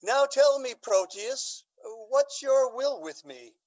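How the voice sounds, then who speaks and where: A man playing an older Duke in a Shakespearean radio drama, halting and stately. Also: very high voice, animated, darkish tone, muffled recording, heavily acted. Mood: guarded. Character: hesitant, courtly older male Duke.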